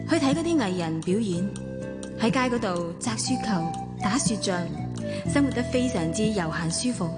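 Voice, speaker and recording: A woman's voice: a little high, natural voice. A woman acting a well-off, spoiled woman in love in a Cantonese radio drama, with a Hong Kong accent, teasing and coquettish or reflective as she narrates.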